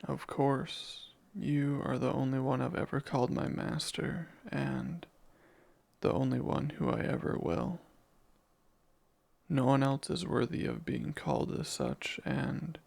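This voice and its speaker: Casting: male actor as jealous, menacing, devoted demon for romantic ASMR roleplay; heavy vocal fry, slow formal delivery. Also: medium pitch, a little mellow. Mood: tender, content. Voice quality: gravelly. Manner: slow, formal, deliberate phrasing.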